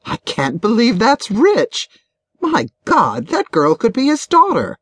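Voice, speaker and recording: A woman's voice: on the high side, huge pitch swings, slow pace, husky, dry recording, studio quality, voice pushed up, full character voice. A woman voicing a blunt, gossipy, crude female confidante in an audiobook, with a brash, scolding manner. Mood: concerned, shocked.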